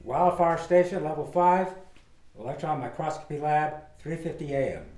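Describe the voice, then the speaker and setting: A dark, mellow voice in a roomy echo, a man acting a formal station announcer. He logs time and place with official restraint in a radio play. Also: on the high side, normal speed, close to an everyday voice. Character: formal male announcer logging time and location. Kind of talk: scripted radio drama announcement.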